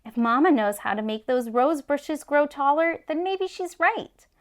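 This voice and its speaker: A woman's voice: high-pitched, unhurried, pitched above the performer's own voice. A woman voicing an earnest, eager young boy in a storybook. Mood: eager, curious. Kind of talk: storybook character voice.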